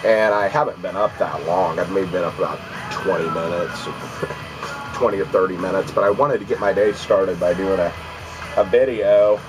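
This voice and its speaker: A man's voice: low-pitched, normal speed, over music, poor audio. Congested man rambling about game finds. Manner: rambling, many ums and restarts. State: congested from allergies. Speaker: video game collecting vlogger. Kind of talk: casual rambling vlog. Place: at home.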